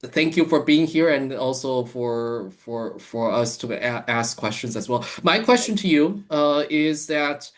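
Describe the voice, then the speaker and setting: Slow, halting man on a video call, lots of fillers. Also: medium pitch, animated. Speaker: audience member. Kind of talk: casual question on a call.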